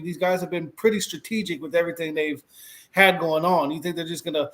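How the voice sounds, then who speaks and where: High-voiced man ranting about football. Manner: rambling, exasperated, restarts a lot. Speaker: podcast co-host. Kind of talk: casual sports talk.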